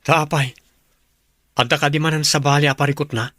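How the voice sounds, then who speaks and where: Bright-voiced Ilocano working man. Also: fairly high pitch, steady tone, a little fast, no room sound, clean studio sound. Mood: concerned. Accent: Filipino, Ilocano. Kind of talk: TV drama acting.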